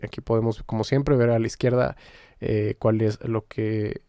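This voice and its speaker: Mellow-voiced man giving a software tutorial. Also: on the low side, little pitch movement, normal speed, smooth, dry recording, clean studio sound. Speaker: music software tutorial instructor. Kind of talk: informal screen-recorded software tutorial.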